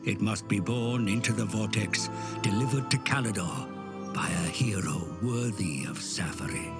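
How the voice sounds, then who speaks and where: Game cutscene narrator: grave, theatrical male chronicler, low-pitched, under loud music. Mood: solemn, grave. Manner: portentous, measured, theatrical. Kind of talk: solemn dramatic scripted narration of fantasy game cutscenes. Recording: loud orchestral music behind. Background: loud cinematic game music.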